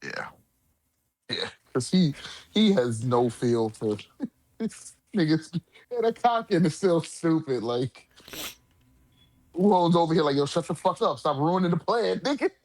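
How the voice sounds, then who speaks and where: Male co-host, fairly high voice, loose slangy profane chat with halting repeats, dry sound. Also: sing-song, a little mellow, dry recording. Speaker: co-host of a manga discussion. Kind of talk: casual chat.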